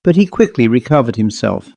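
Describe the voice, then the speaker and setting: Male audiobook narrator for ghost fiction: mellow, measured, literary, recorded close and dry. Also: mid-range voice, big pitch swings, vocal fry, no room sound. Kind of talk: scripted narration of a ghost story.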